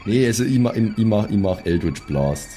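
A man's voice: fairly low pitch, animated, a little fast, dark tone, faint music behind. A man talking casually as a player in a tabletop roleplaying game.

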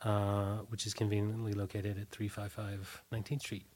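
A man's voice: on the low side, monotone, moderate pace, darkish tone. A middle-aged American man chatting casually as an interview guest, in a loose, hesitant, wandering way. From Washington, D.C., United States. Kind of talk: casual chat. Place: radio studio.

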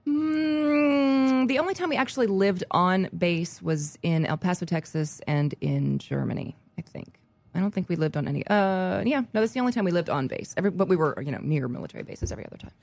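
A woman chatting as a guest on a talk show, lively and quick to laugh. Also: fairly low pitch, vocal fry, no room sound. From United States, army family. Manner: animated, laughs often. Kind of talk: casual chat.

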